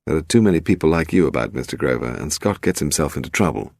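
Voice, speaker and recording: A man voicing an urbane, unflappable English gentleman detective in a crime story, teasing and assured. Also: a bass voice, animated, fast, vocal fry, dry recording, studio quality, full character voice.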